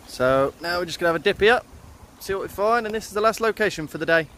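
A man's voice: high voice, lively intonation, fast talker, a bit bright. A man, chatting casually to camera as a vlog host outdoors. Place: outdoors on a riverbank. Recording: noisy outdoor camera audio.

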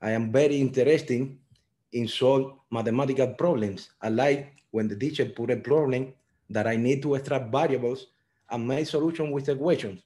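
A young man with a Cuban Spanish accent speaks in simple, earnest learner English, his voice dark and mellow. He is heard over a video call, mid-pitched and steady. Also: moderate pace. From Cuba. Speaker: software engineer guest. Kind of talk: online panel talk.

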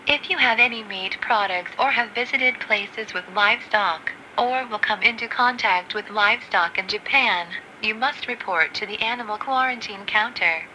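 A woman's voice: a little high, takes it slowly, hardly any pauses, sharp tone, low-quality recording. A woman making a scripted, formal inflight announcement as a flight attendant over the cabin PA. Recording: cabin PA with faint noise. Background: cabin noise.

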